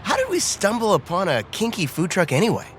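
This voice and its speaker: A man's voice: sits high, wildly swooping pitch, a little fast, background noise. An actor playing an easygoing, bashful man in a romantic comedy audio drama, talking in a hesitant, wry, self-deprecating way. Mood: amused, incredulous.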